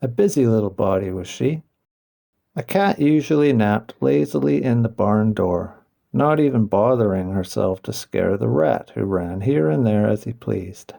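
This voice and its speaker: Male storybook narrator, heavy gravelly creak, mellow tone, reading a scripted children's tale. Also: medium pitch. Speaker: audiobook narrator.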